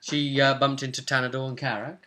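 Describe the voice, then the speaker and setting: A man's voice: medium pitch, level tone, fairly quick, sharp tone, a little hiss or hum. A young man, a game master, casually recapping a tabletop roleplay session at home. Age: young adult. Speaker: game master and vidcast host. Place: small room at home, indoors.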